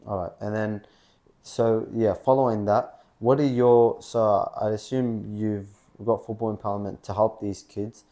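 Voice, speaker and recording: A man's voice: on the low side, little pitch movement, unhurried, mellow. A man interviewing a guest, asking questions hesitantly and restarting them as he goes. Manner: hesitant, repeats his question openings.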